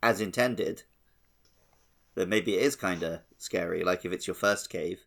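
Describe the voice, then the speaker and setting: A man's voice: low voice, measured pace, vocal fry, fairly bright tone. A man chatting casually as the co-host of a gaming podcast.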